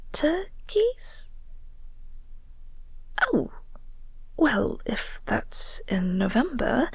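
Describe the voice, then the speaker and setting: A woman playing a flirty, awkward vampire co-worker in an intimate audio roleplay, soft and breathy, with a hesitant, polite, coy manner. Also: fairly high pitch, no echo, full character voice. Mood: flustered, apologetic.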